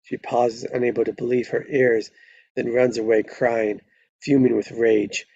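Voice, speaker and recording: A man's voice: medium pitch, level tone, unhurried, a little mellow, highs cut off. A man narrating a screenplay at a live table read, stumbling over words now and then and correcting himself.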